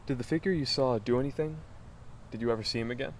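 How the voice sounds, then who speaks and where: An actor playing a curious, determined American investigator in an audio drama, questioning things in a thoughtful, earnest way. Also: male voice, medium pitch, quick pace, slight background noise, natural voice. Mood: inquisitive. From Los Angeles, United States. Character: curious, determined young male amateur investigator. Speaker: investigative podcast host. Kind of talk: naturalistic audio drama dialogue.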